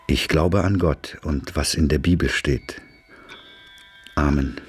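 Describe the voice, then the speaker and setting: A man playing a pious, repentant convict in a radio play, reading solemnly in a slow, measured, devout manner. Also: rumbling low, soft background music.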